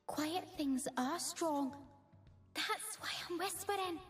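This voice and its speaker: A woman voicing a shy, whimsical young fawn dream spirit from a video game, soft, sweet and timid, with music behind her. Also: extremely high pitch, slow. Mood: dreamy, hushed. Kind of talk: video game character voicelines.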